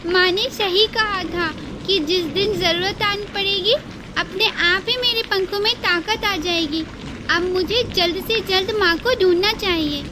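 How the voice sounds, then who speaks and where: A tiny cartoon girl bird, anxious but brave, as a woman puts her on, her voice pitched very high. She pleads in a small, worried voice over heavy background noise. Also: a little slow. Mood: amazed.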